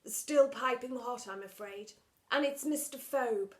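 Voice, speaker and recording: A woman's voice: fairly high pitch, unhurried, lightly acted. A woman doing an earnest fussy male teacher. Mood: strained. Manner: loud, rising, emphatic speechifying. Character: earnest male schoolteacher, fussy and put-upon.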